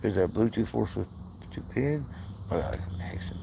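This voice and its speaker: A man hosting his channel, talking casually and profanely over his screen, rambling and excitable with many asides to viewers. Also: lowish voice, normal speed, creaky, background noise. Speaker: channel host. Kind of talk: casual screen-share commentary.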